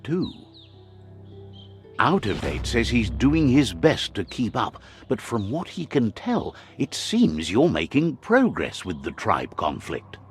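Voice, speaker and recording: A man giving scripted narration for a video game, a wry storyteller who relays what the characters say. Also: fairly low pitch.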